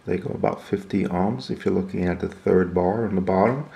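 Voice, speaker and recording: A man giving an unscripted, hands-on equipment walkthrough in a halting, explanatory way. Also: sits low, vocal fry, warm tone. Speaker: product demonstrator.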